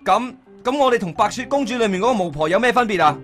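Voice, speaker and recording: An actor playing a principled, earnest young band member in a radio drama, with a Hong Kong accent. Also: male voice, high voice, lively intonation, fast, a bit bright, faint music behind.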